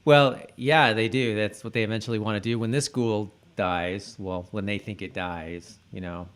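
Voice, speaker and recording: A man co-hosting a podcast in casual chat, halting, often repeating words and trailing off. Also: on the low side, fairly even intonation. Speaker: podcast co-host.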